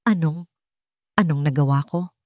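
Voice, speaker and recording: A woman voicing an anguished, remorseful grown son in an audio drama, halting and desperate. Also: deep voice, darkish tone, dry recording, clean studio sound, lightly acted. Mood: stunned, remorseful.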